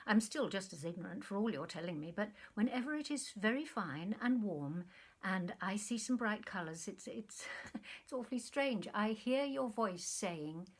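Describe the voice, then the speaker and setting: A woman reading a storybook part as an earnest, sentimental man who speaks gravely, wistfully and haltingly to a former sweetheart. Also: sits high, exaggerated voice.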